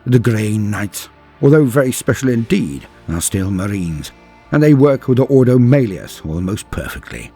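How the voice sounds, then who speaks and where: A man with a husky voice narrating scripted fantasy lore in a theatrical, portentous manner with deliberate pauses. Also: on the low side, big pitch swings, mellow. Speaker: narrator and host of a lore channel. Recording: music playing behind the voice. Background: background music.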